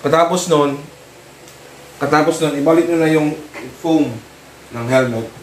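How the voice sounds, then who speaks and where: A Filipino man giving a casual hands-on tutorial, talking informally in short, halting phrases as he works. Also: on the high side. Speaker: vlogger demonstrating product installation. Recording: roomy, distant mic with clear noise.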